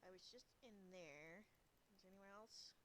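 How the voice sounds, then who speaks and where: A woman's voice: medium pitch, moderate pace, fairly bright tone, slight background noise. A woman giving casual, playful playthrough commentary as a game streamer, thinking aloud into a streaming mic.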